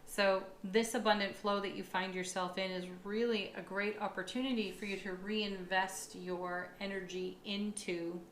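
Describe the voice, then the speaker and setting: A woman's voice: mid-range voice, fairly bright tone, a little hiss or hum. A woman with a slightly froggy, tired voice gives a tarot reading, upbeat and affirming, with thoughtful asides. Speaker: tarot reader. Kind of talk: monthly astrology tarot reading. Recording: echoey room with faint ambience.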